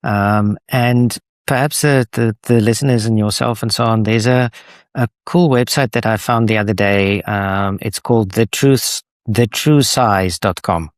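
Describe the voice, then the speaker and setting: A man with a South African accent, a native Afrikaans speaker, answering interview questions in a halting way with many restarts. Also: fairly low pitch, dry recording, studio quality. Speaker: guest, association co-founder.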